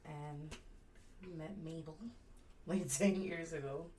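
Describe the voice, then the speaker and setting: A young woman from New York speaks softly as a podcast guest, often laughing and echoing her partner. Her voice is low for a woman. She is heard from a distance, with faint noise behind her. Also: takes it slowly. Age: young adult. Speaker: business co-founder, guest. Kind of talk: casual interview. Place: university library.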